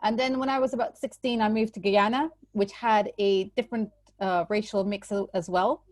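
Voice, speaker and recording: A woman's voice: medium pitch, steady tone, darkish tone, no echo. Woman explaining things carefully. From Trinidad and Tobago. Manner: measured, explanatory, qualifies her points. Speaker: guest. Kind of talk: personal account in conversation. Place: church recording.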